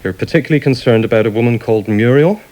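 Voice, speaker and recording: A man's voice: mid-range voice, racing, darkish tone, lightly acted, low-quality recording. A man acting in a radio drama as a firm doctor, clinical and insistent. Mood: probing. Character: firm, probing male family doctor.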